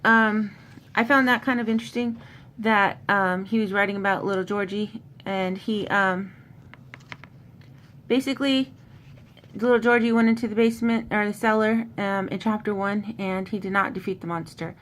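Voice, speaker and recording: A woman's voice: steady tone, normal speed, a bit bright. Woman chatting casually over noisy recording. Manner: informal, slangy, conversational. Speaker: host of a horror book recap. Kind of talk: casual book summary with commentary. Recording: clearly noisy, low background rumble.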